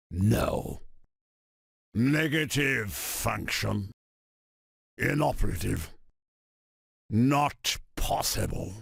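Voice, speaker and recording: An actor playing an arrogant armored supervillain tyrant in a video game, declaiming in a pompous, commanding, gravelly voice. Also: male voice, mid-range voice, no echo, studio quality, exaggerated voice. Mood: strained alarm. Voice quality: gravelly, rasping. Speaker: video game villain character. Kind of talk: theatrical video game villain voice clips.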